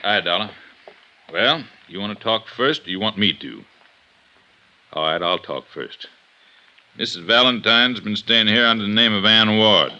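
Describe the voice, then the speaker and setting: On a muffled fifties radio recording, a blunt police inspector speaks in a low, hard-edged, forceful voice. He is businesslike and plainly out of patience. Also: animated, unhurried, pauses often, full character voice. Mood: brusque, businesslike. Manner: brusque, businesslike. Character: blunt, fed-up male city police inspector. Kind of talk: 1950s radio drama acting.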